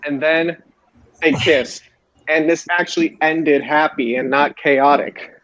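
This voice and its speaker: A man's voice: on the high side, steady tone, slow pace, fairly bright tone. A man hosting a podcast in a casual recap style, recorded on a dull lo-fi microphone. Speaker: podcast host. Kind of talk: casual wrestling show recap.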